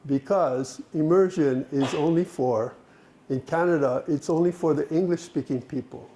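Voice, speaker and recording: Man, workshop presenter, mellow tone, slightly high pitch, animated. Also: normal speed, slight background noise. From Hawaii. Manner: animated, laughs and asks the group questions. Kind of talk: interactive workshop talk.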